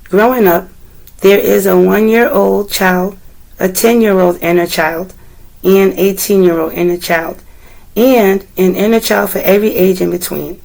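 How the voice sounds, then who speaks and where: A woman tarot reader with a deep, slightly rough voice, still getting over a cold, talking gently and meandering as she speaks to the viewer and reads aloud from a guidebook. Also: fairly even intonation, unhurried, rough recording. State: recovering from a cold. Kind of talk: casual tarot reading, partly read aloud from a guidebook.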